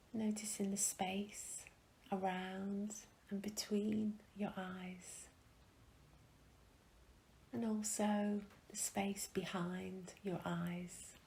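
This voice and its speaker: A woman leading a guided meditation in a gentle, calm, soft and breathy voice, with long pauses, often trailing into a whisper. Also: mid-range voice, a little hiss or hum, low-quality recording. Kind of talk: guided meditation, soothing instruction.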